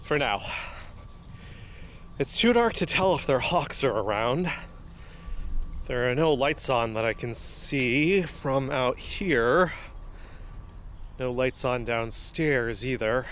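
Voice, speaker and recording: A man playing an anxious, paranoid fugitive podcaster in an audio drama, earnestly talking to himself in halting mutters. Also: mid-range voice, a little hiss or hum. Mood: wary, tense. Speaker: investigative podcast host in an audio fiction. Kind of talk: audio drama found-recording monologue.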